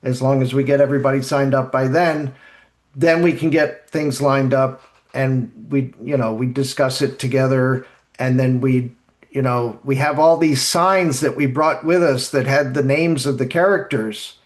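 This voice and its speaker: A man hosting a podcast in casual discussion, speaking in a halting way and repeating phrases as he goes. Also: mid-range voice, steady tone. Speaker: podcast host.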